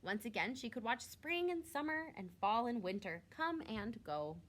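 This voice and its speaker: A woman reading a picture book aloud to young children, expressively, drawing out words for emphasis like a teacher. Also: a little high. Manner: expressive, with drawn-out emphasis and questions to listeners.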